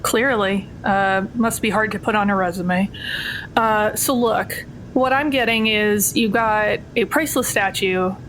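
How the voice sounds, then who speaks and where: A woman's voice: a bit bright, lightly acted, low-quality recording. A woman playing a wry, skeptical jailed thief in a tabletop game, casual and sardonic. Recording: noticeable low rumbling background noise.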